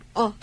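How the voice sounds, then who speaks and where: An actress playing a chatty, teasing, giggly woman friend in an Ilocano radio drama. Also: slight background noise.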